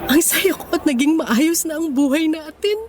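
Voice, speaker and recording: A woman's voice: sits high, moderate pace, background noise, close to an everyday voice. A woman acting in a Filipino radio drama as a forgiving, grateful older sister, speaking in an earnest, sentimental way. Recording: music behind the voice.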